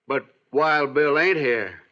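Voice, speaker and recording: An actor playing a hostile small-town constable, folksy and needling with veiled menace, in American old-time radio western style. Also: male voice, on the high side, animated, slow pace, sharp tone, exaggerated voice. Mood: smug, menacing. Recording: dull 1950s radio recording.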